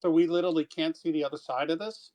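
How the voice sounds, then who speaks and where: Brief: tabletop player, mid-pitched, casual, inquisitive. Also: steady tone, quick pace, mellow. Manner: questioning, casual. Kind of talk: casual tabletop game chat.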